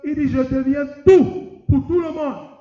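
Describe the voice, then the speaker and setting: A middle-aged man preaching a lively sermon, talking straight to the congregation in a conference hall. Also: very high voice, unhurried. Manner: lively, addresses the congregation directly. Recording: echoey hall, dull sound.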